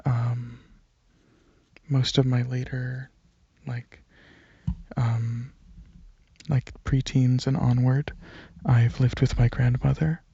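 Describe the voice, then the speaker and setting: Breathy, soft-spoken young man, slow and hesitant. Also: mid-range voice, level tone, dry recording, dull audio. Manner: hesitant, halting, slipping into whispers. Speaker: ASMR video creator and channel host. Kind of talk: soft-spoken ASMR ramble, casual personal chat.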